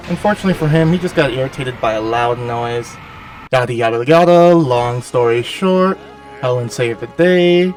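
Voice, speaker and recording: Guy with a cold narrating his game, nasally and kinda gravelly, jokey asides, mic a bit far off. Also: medium pitch. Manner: chatty, self-deprecating, jokey asides. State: has a cold. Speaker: gaming channel host. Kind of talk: casual voice-over commentary on gameplay.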